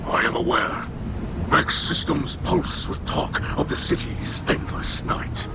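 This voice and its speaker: A man performs a solemn alien leader from a video game, devout and guarded. The speech is slow, formal and portentous, in a breathy, inhuman rasp. Loud music plays underneath. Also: close to an everyday voice. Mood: grave, formal. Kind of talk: scripted video game character acting.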